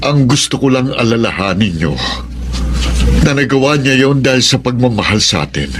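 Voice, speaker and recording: An actor plays a gentle, ailing father in middle age. His voice is medium in pitch, pleading and deliberate, in the manner of radio drama. Clear ambient noise sits behind him. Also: sing-song, natural voice. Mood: gently pleading. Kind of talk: Tagalog radio drama acting.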